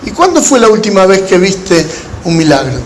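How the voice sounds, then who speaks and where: High-pitched Argentine male preacher in an echoey room. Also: very animated, normal speed. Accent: Argentine Spanish. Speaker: pastor. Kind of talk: sermon to a gathering of pastors, with storytelling. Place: large room at a church conference. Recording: echoey hall with background noise.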